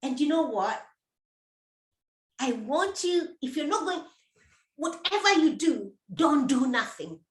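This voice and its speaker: A woman's voice: high voice, sing-song, slow, pauses often. A middle-aged woman coach hosting a motivational talk, speaking directly to her listeners, encouraging them and repeating herself for emphasis. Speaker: menopause coach and host. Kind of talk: live motivational talk to viewers. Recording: echoey room.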